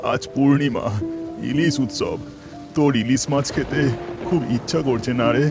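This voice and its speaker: A man playing a weary, tender village father in a cartoon, speaking slowly. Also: mid-range voice, vocal fry. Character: sick, weary, tender village father; also gruff, haughty landlord. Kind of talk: Bengali cartoon voice acting. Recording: loud music under the voice.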